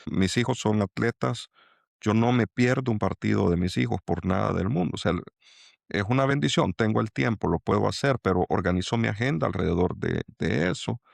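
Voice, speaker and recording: A middle-aged man from El Salvador with a Salvadoran accent, speaking as a guest in an interview and pausing to restart his thoughts. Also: fairly low pitch, vocal fry, dry recording. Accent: Salvadoran Spanish. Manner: halting, many restarts and fillers. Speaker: angel investor guest. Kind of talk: reflective interview talk.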